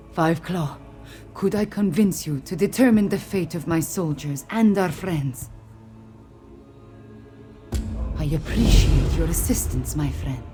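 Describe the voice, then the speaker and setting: A regal Khajiit warrior queen for a video game. Her voice is low and soft-edged, with formal, measured, earnest phrasing and a weary weight. Faint music plays underneath.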